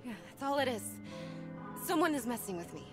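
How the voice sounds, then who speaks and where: A woman voicing a panicked, bitter young schoolgirl in an anime visual novel, quick to anger and exclamatory. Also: on the high side, big pitch swings. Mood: shaken, uneasy. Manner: dramatic, exclamatory. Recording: loud music behind the voice.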